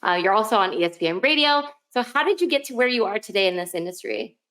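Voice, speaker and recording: A woman hosts a sports podcast in a medium-pitched voice. Her style is chatty and informal, full of slang and "like," as in a casual interview between fans. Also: lively intonation, fast, a bit bright.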